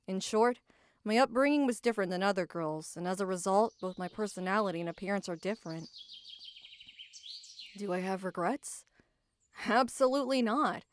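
A woman acts out a tough, proud female knight from an anime fantasy roleplay. Her voice sits at a medium pitch, and she speaks in earnest, formal phrases with a guarded, self-doubting temper. The sound is dry, with no room around it. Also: dry recording, close to an everyday voice. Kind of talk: anime ASMR roleplay acting.

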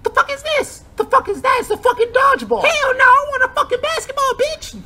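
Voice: very high-pitched, fairly quick, noisy background. A performer voicing a bratty, foul-mouthed child in a comic cartoon dub, indignant and demanding.

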